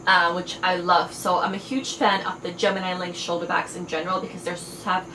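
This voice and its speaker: A woman's voice: lowish voice, little pitch movement, brisk, pushed and bright. A young woman whose first language is Farsi, chatting eagerly through a fashion haul with many fillers. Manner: enthusiastic, chatty, frequent fillers. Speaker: fashion and beauty vlogger. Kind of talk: casual haul video. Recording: echoey room, faint background noise.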